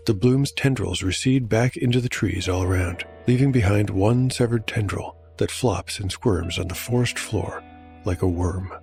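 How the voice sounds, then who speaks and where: A man's voice: on the low side, normal speed, creaky, darkish tone. A man narrating his solo tabletop game, rolling dice aloud and musing on the results. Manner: rolls dice aloud, reflective asides. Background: music.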